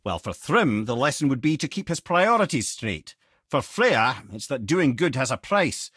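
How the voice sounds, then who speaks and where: An actor playing a wry old sage in a video game, an eloquent, theatrical storyteller with a Scottish accent. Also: male voice, mid-range voice, very animated, moderate pace, a bit bright, slightly rough, no room sound, clean studio sound. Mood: wry, knowing.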